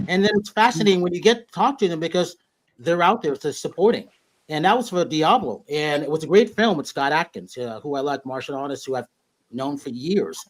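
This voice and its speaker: Brief: male host, rambling and meandering, slightly higher voice. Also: brisk, low-quality recording. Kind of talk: casual interview.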